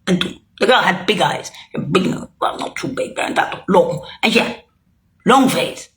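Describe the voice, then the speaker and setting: A woman's voice: mid-range voice, lively intonation, measured pace. A woman speaking a rambling, heated monologue, swearing and accusing as she jumps from thought to thought. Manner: loose, jumping from thought to thought.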